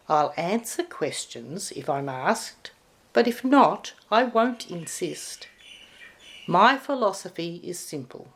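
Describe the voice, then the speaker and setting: A woman's voice: on the low side, full character voice. A woman voicing a cheerful, sheepish male Easter Bunny in a storybook poem, chummy and casual.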